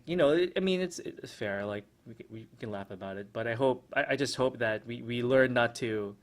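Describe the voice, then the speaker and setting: A man hosting a solo podcast, talking through his thoughts with lots of fillers and restarts. His first language is American English. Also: on the low side. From Philippines. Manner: hesitant, many restarts and fillers, reflective. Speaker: podcast host. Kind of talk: casual solo podcast commentary.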